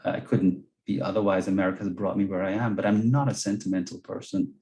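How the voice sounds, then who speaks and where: A man's voice: low-pitched, fairly even intonation, quick pace, mellow. A man from Jamaica with a Jamaican accent, an earnest guest speaker on a video call who repeats words as he searches for them. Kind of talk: webinar talk and Q&A answers.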